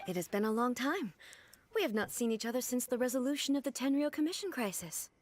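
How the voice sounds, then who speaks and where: A woman voicing a solemn, regal immortal goddess in a video game, speaking in a formal, measured and stately way. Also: on the high side, lively intonation, a little hiss or hum, lightly acted. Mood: solemn, composed.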